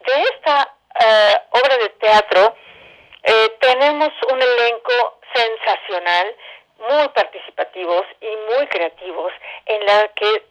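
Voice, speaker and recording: A woman with a Mexican accent and a slightly high voice. She talks in a gracious, conversational way as a theatre director being interviewed on radio. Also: slow pace, poor audio. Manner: courteous, gracious.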